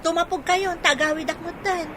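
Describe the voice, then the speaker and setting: A woman's voice: very high voice, steady tone, fairly quick, fairly bright tone, slight background noise. A woman playing an earnest, respectful young daughter with big dreams in a radio drama. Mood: earnest, dutiful. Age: young adult.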